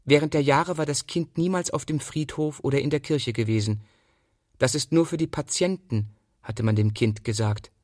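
A man reading a literary text aloud as scripted narration, in a measured and precise manner. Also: mid-range voice, a little mellow, no echo, clean studio sound. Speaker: reader of literary prose.

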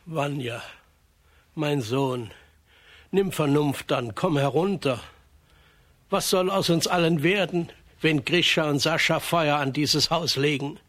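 A gentle old peasant father in a children's radio drama. His voice is fairly high for a man and husky. He speaks earnestly and solemnly, with worry and pleading. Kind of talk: children's radio play acting.

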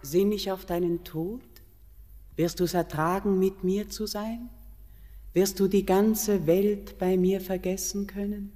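Woman acting slow, echoing, otherworldly mountain queen. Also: medium pitch, fairly even intonation, lightly acted. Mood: commanding. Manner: solemn, incantatory verse delivery, hushed at times. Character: mysterious otherworldly queen of the mountain, tender and commanding. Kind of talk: classic German radio play acting. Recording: radio play with long hall reverb.

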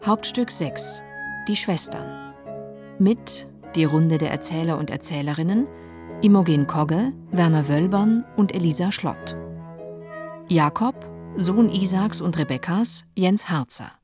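A woman reads the credits of a radio drama in a crisp, formal tone. Her voice sits a little low, with clear instrumental music playing behind her. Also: a little slow. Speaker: announcer. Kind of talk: credits announcement.

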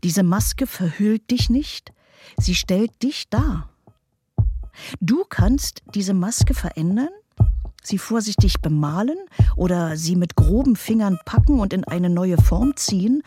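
A woman delivering poetic scripted narration in deliberate phrases, sometimes hushed. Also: mid-range voice, lively intonation, soft background music.

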